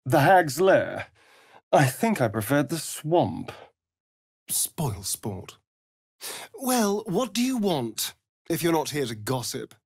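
A sardonic, vain elven vampire rogue from a fantasy game, with an English accent. His voice is breathy and close, recorded very dry. He draws his words out in an arch, mocking way, with theatrical swoops in pitch. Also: sing-song, slow, pauses often, dry recording, exaggerated voice. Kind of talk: video game character voice lines, theatrical delivery.

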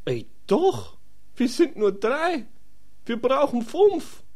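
A man voicing a brash, slangy young adventurer with a raspy voice in a comedy fantasy audio drama. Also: very high-pitched, wildly swooping pitch, takes it slowly, a little mellow, full character voice. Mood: excitable, brash. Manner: street-slang, easily outraged.

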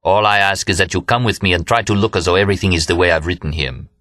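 A man voicing an earnest, wounded young man who pleads with friends in a quiet, sincere, formal way. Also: low-pitched, fast, dry recording, clean studio sound. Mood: earnest pleading.

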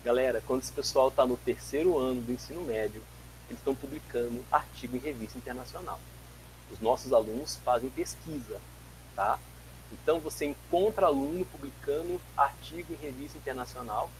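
A man in his forties, from Brazil, giving an animated physics lecture over a video call from a home office, telling stories with frequent restarts. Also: mid-range voice, fairly quick, slight background noise, poor audio. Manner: animated, gestures a lot, tells anecdotes with many restarts. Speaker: guest lecturer, physics teacher. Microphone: webcam mic on a video call.

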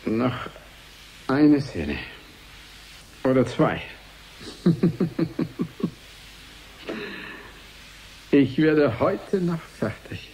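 A dazed, dreamy male test subject in a radio drama. He talks extremely slowly, in halting fragments with swooping pitch, over clear background noise. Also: big pitch swings, darkish tone, full character voice, low-quality recording. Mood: absorbed. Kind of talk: radio play acting.

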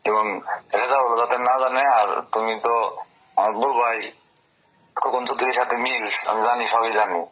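A man's voice: medium pitch, flat delivery, normal speed, pauses often, fairly bright tone, a little hiss or hum. A man talking informally on a recorded phone call, in a domineering, insistent way, often repeating himself. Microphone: telephone.

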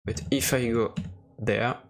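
Slow, gravelly man demonstrating software. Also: medium pitch, steady tone. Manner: hesitant, searching for words. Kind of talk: casual screen-recorded tutorial narration. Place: voice-over for a screen recording.

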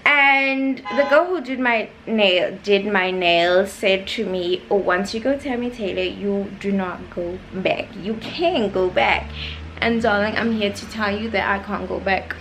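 A woman's voice: mid-range voice, unhurried, a bit bright, low-quality recording. A South African woman of 21 chatting casually and playfully to her vlog viewers, with sassy slang, into a camera held at arm's length. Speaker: vlogger. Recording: clear background noise.

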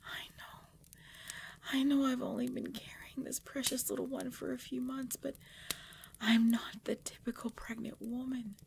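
A woman playing a tormented, devoted pregnant vampire in an intimate ASMR roleplay, halting and breathy, often dropping into a whisper. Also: high voice, level tone, a little mellow, a little hiss or hum. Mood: strained, earnest. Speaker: voice actor in audio roleplay.

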